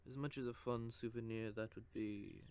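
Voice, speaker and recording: A man voicing a cocky, possessive male vampire who teases with soft whispered asides, in an audio roleplay. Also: medium pitch, steady tone, normal speed, slight background noise, natural voice. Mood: teasing. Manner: teasing, smug, with soft whispered stretches.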